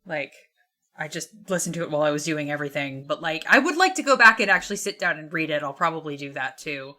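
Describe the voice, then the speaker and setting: Bright-voiced woman hosting casual book chat. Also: low voice, expressive, fast talker. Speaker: podcast host.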